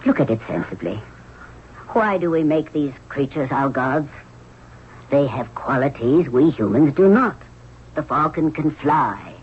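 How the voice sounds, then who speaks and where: A woman's voice: low voice, sing-song, breathy edge, exaggerated voice. Imperious Egyptian queen mother. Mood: firm. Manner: imperious, measured, formal. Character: stern, commanding queen mother of ancient Egypt. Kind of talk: 1970s radio drama acting. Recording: muffled, noisy old radio recording.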